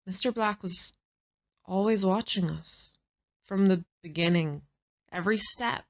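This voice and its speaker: A woman's voice: mid-range voice, a little slow, close to an everyday voice. A woman acting in an audio drama as a brash, sardonic, hard-bitten assassin who swears freely. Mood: grave, explaining. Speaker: voice actor in an audio roleplay.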